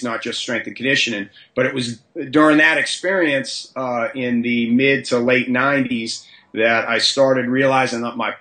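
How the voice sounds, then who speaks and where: A man's voice: medium pitch, pushed and bright. A man with a mixed Boston and Southern US accent, an interview guest speaking conversationally and humbly. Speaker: high school strength coach.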